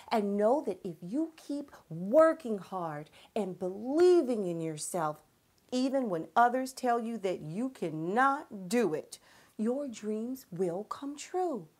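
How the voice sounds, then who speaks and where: A middle-aged woman hosting a children's read-aloud, with expressive, emphatic and encouraging storytelling. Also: mid-range voice, slightly rough.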